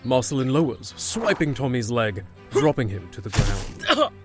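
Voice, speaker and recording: Male narrator for fantasy audio drama: mid-pitched, scripted storytelling delivery over soft music. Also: sing-song, measured pace.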